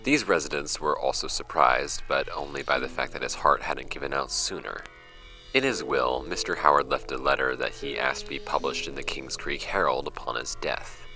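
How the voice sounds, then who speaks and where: A man's voice: extremely low pitch, normal speed, pushed and bright, faint music behind. A man playing a casual, wry amateur podcast host who muses conversationally in an audio drama. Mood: measured storytelling.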